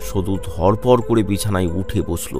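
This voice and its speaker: A man narrating a suspenseful animated horror story in a dramatic storytelling way. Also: low-pitched, moderate pace. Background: background music.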